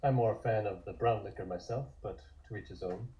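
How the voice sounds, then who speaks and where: A man's voice: fairly low pitch, brisk, highs cut off. A man reading scripted scene descriptions as the narrator of a table read.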